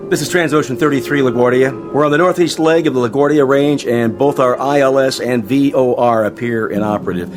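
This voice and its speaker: Man acting flight radio officer, mid-pitched, sardonic, breezy, radio drama, loud music underneath. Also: fairly quick, hardly any pauses, close to an everyday voice. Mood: businesslike. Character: wisecracking airline radio officer.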